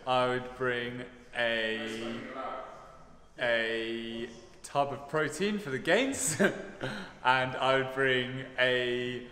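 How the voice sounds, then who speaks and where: A man's voice: mid-range voice, extremely slow, pushed and bright. A young man, a rower, bantering with cocky tongue-in-cheek boasts about his seat in the boat. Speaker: rower, interviewee. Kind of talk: casual banter. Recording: echoey room with crowd noise.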